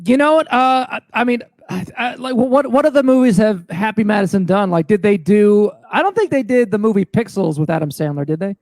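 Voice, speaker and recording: A man co-hosting a podcast in casual banter, animated and often restarting his phrases. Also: high-pitched, brisk, hardly any pauses, no room sound. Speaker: podcast co-host.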